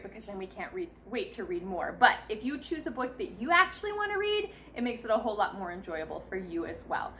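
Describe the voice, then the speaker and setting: A woman's voice: medium pitch, a bit bright, slight background noise. A woman in her thirties, a mother giving casual, encouraging tips in a chatty vlog style. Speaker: mother sharing parenting tips. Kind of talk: casual instructional vlog talk.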